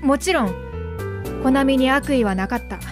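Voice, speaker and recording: A blunt, brash woman played by an actress speaks in Kansai dialect at a high pitch. She teases freely in an audio drama, with music playing underneath. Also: moderate pace, close to an everyday voice. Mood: matter-of-fact.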